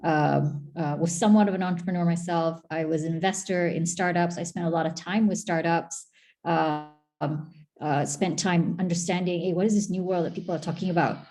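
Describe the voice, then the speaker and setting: Mellow-voiced Malaysian woman, chatty. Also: on the low side, steady tone, a little fast. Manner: chatty, many restarts and fillers. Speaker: guest, former tech agency chief. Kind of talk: conversational interview answers.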